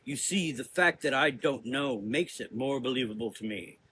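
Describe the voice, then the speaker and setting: Man playing a menacing, deliberate dark sorcerer. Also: medium pitch, lively intonation, a little hiss or hum, natural voice, rough recording. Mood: accusing. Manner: deliberate, lecturing, drawn-out phrases. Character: menacing, cerebral male gothic sorcerer, taunting and sure of himself. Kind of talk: gothic audio drama acting.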